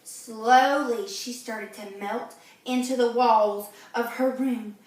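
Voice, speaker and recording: A woman's voice: on the high side, fairly even intonation, slow. A woman reading a children's storybook aloud expressively, exclaiming and putting questions to young listeners like a teacher. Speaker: reader of a children's read-aloud. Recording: very echoey room, distant mic.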